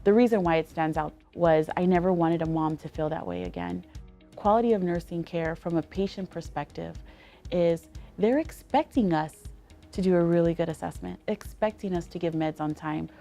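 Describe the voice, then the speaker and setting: Woman with a low, dark, gravelly voice. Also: normal speed, faint music behind. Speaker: nurse. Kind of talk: interview testimony.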